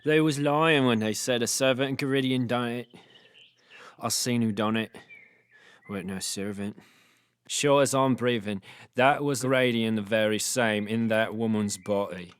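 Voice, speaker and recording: An actor playing an old blind beggar in an audio drama, with folksy rustic speech and a pleading, haunted manner. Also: male voice, medium pitch, normal speed, smooth, no room sound, natural voice. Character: frightened old blind beggar, folksy, guilt-ridden former hunter.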